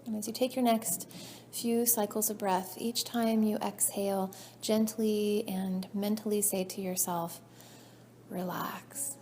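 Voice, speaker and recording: A woman leading a guided meditation as a yoga nidra teacher, soothing and measured, her phrases trailing off softly. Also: mid-range voice, little pitch movement. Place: indoor class room. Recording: faint background noise, live class recording.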